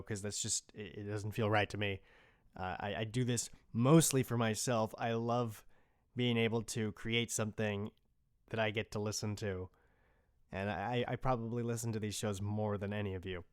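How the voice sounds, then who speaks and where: Male podcast host, medium pitch, crisp clear tone, dry studio-tight sound, loose and informal unscripted delivery. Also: dry recording. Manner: informal, rambling, mildly profane. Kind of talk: casual unscripted podcast talk.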